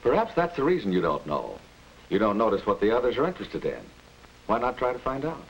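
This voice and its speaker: Animated, low-voiced American father. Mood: advising. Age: middle-aged. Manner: measured, advising, reassuring. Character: calm, reasonable middle-aged father advising his son. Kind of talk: 1940s educational film acting. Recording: muffled, noisy 1940s film soundtrack.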